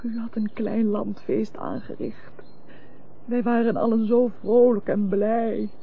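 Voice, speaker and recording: A dull, lo-fi radio melodrama recording carries a penitent, tender wife and mother. Her voice is husky and fairly high, and she pleads slowly and solemnly in formal, old-fashioned language. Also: exaggerated voice. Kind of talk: theatrical radio drama acting.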